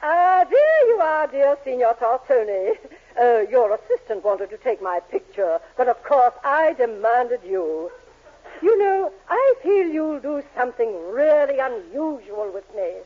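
A woman's voice: high voice, very animated, a little slow, full character voice. A woman playing a gushing, imperious society lady with a grand, fluttery manner in a 1940s radio sitcom. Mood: flattered. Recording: tinny, hissy 1940s radio recording.

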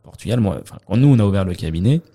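A French accountant talking through his work in a relaxed interview, with many fillers and restarts. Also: fairly low pitch, very fast. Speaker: accountant, guest. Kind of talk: conversational interview.

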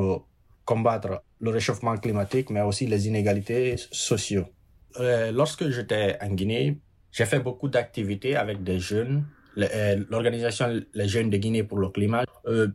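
Young man, twenties, from Guinea, earnest, halting, climate ambassador. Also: on the low side, darkish tone. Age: 20s. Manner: earnest, halting, with fillers and repeated words. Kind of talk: interview testimony.

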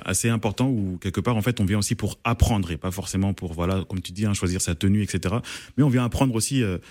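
A man with a very low voice, heard as a guest in a radio interview. He talks haltingly, full of fillers and restarts. The studio sound is dry and close. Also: a little mellow, no room sound. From France. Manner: hesitant, many fillers and restarts. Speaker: event organizer, guest.

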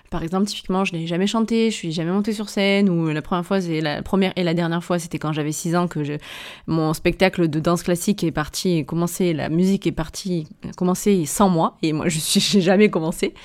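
A woman with a southern French accent hosting a podcast, telling a personal story in a casual, rambling way with lots of hesitations and self-corrections. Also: lowish voice. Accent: southern French, Avignon. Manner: chatty and rambling, full of hesitations, self-corrections and brief laughs; addresses the listener familiarly. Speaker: podcast host, entrepreneur.

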